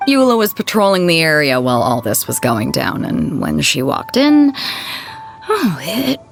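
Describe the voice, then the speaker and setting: A woman playing an easygoing, hearty sea captain in a video game, talking in a breezy, informal, expressive way. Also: low-pitched, a little slow, vocal fry, faint music behind, exaggerated voice. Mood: storytelling.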